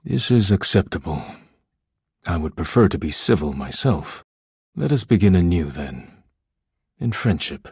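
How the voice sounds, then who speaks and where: An actor playing a proud, formal male artist in a video game, with a husky voice and deliberate, theatrical phrasing. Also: sits low, sing-song, darkish tone, dry recording, studio quality, full character voice. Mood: civil, sincere. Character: proud, formal, easily wounded male artist, devoted when befriended.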